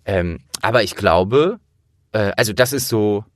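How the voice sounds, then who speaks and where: Deep-voiced man talking earnestly, dry close sound. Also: animated, unhurried, dry recording. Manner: earnest, emphatic, colloquial with swearing. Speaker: interviewer and audio drama producer with acting training. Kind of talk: casual, opinionated conversation.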